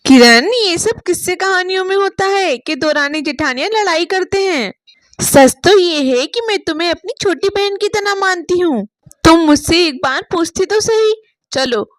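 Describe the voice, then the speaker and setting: A capable elder sister-in-law, acted by a woman storyteller speaks with caring, big-sisterly firmness in a storybook tale. The voice is high and instructive, with a very dry sound. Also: moderate pace, no echo. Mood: reassuring. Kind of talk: dramatized storybook dialogue.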